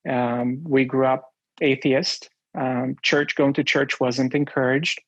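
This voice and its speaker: A middle-aged man from Romania tells his story as an interview guest. He talks at an ordinary pitch, pausing often and filling gaps with uh and um. The sound is dry and slightly dull. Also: flat delivery, fairly bright tone, no echo. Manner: halting, many uh and um fillers.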